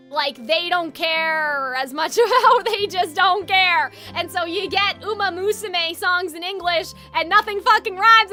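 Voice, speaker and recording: A woman's voice: extremely high pitch, bright, forceful tone. A woman livestreamer chatting casually and ramblingly with her viewers, opinionated and informal, sounding a little phlegmy. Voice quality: slightly congested, phlegmy. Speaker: game livestreamer.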